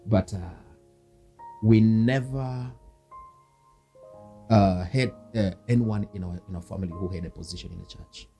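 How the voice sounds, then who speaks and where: This is a Kenyan man with a fairly low, mellow voice. He talks earnestly and devoutly, like a minister sharing his testimony in an interview. Soft music plays faintly behind him. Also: fairly even intonation, measured pace, long pauses. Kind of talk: personal testimony in a conversational interview.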